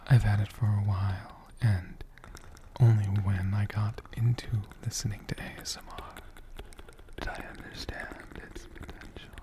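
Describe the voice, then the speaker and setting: A man doing soft-spoken, whispered ASMR in a gentle, deliberate manner, close to a condenser mic. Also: fairly low pitch, flat delivery. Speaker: ASMR creator answering viewer questions. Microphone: USB condenser mic, close up.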